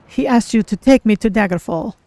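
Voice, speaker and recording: A streamer voicing an earnest, curious female cat-folk adventurer in a fantasy game, with measured, formal phrasing. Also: mid-range voice, darkish tone, dry recording, natural voice. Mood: earnest, polite. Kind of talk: in-character game roleplay narration.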